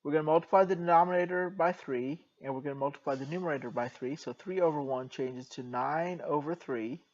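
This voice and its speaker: A man teaching a math lesson in a soft voice, working through a problem step by step and slipping in dry jokes. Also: fairly high pitch, brisk, muffled recording. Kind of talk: jokey recorded math lesson.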